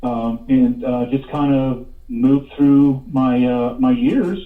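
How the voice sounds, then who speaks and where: A man's voice: mid-range voice, distant mic. Tinny, echoey phone-line man in his fifties. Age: 50s. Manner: halting, rambling, repeats words. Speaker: radio show guest, paranormal investigator. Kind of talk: casual interview storytelling.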